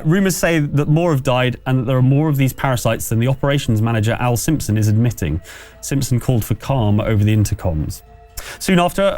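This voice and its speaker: A man's voice: medium pitch, a little mellow. A man narrating a tabletop roleplaying game as game master, in a conversational storytelling manner. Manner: conversational scene-setting storyteller.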